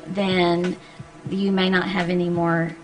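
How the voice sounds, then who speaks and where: A woman's voice: on the low side, little pitch movement, low-quality recording. Patient woman explaining on radio. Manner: patient, explanatory, measured with frequent ums. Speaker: speech-language pathologist guest. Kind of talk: radio interview, explaining clearly. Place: radio studio. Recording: radio studio with music behind.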